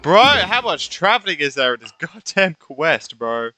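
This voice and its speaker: High-pitched, very bright male game streamer. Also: big pitch swings, measured pace, faint music behind. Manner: slangy, sweary, reactive. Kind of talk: casual live gameplay commentary.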